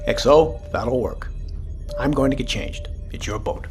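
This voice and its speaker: An actor playing a calm, seasoned submarine captain, commanding and reassuring, in an audio drama. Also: male voice, mid-range voice, a little slow, over music, close to an everyday voice. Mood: measured, resolute.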